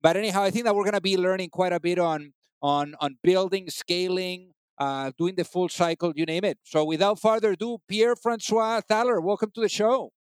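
A man's voice: high-pitched, fairly quick, smooth, no room sound, clean studio sound. A man with a Spanish accent hosting a podcast interview, upbeat and chatty. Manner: upbeat, chatty with many fillers. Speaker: podcast host. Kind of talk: casual interview chat.

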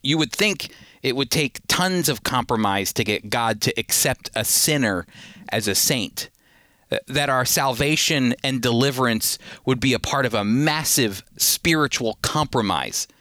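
Earnest, bright-voiced male radio Bible teacher. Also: mid-range voice, expressive, a little slow, no echo. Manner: earnest conversational preaching with fillers.

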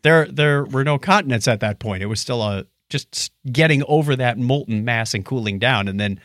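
A fast-talking man co-hosting a casual science chat, animated and given to acting out little quips. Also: mid-range voice, dry recording, clean studio sound. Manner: animated, acts out quips in mock voices. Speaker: podcast co-host.

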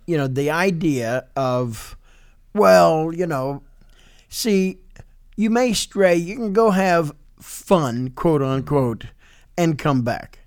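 A man's voice: on the high side, clean tone, no echo, clean studio sound. A man co-hosting a casual interview chat. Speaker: podcast co-host.

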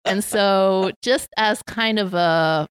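A woman's voice: medium pitch, slow, clear, resonant tone, no echo, poor audio. A woman from Hong Kong with an American accent, chatting as a podcast guest and laughing often between phrases. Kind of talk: casual conversation.

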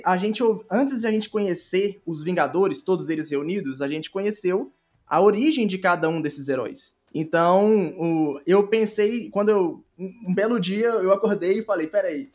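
High-voiced Brazilian man chatting informally. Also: darkish tone. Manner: informal, halting explanations. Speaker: podcast guest, audio drama creator. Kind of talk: casual interview chat. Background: faint music.

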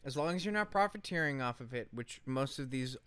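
A man's voice: mid-range voice, expressive, brisk, a bit bright, smooth, no room sound. A man co-hosting a podcast, telling a story in casual commentary with an emphatic, sarcastic manner and casual swearing. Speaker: podcast co-host.

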